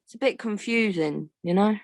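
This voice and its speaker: A woman's voice: mid-range voice, moderate pace, no room sound, clean studio sound, natural voice. A woman voicing a casual, slightly confused wolf puppet in a comedy puppet show. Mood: mildly confused. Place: video call.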